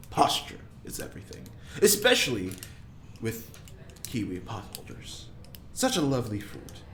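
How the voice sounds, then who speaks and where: A man's voice: medium pitch, echoey room, a little hiss or hum. A man playing a genteel, encouraging prisoner who leads a knitting circle in an audio drama, fussy and courteous. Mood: fussy, precise.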